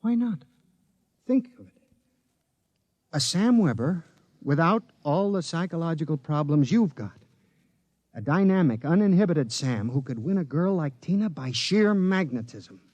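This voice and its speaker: Flustered, highish-voiced inventor. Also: male voice, animated, a little slow, long pauses, a little mellow, no room sound, lo-fi sound. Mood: excited. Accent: American. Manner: earnest, flustered, protesting. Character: anxious, indignant, frustrated inventor, young man. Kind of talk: 1950s radio acting.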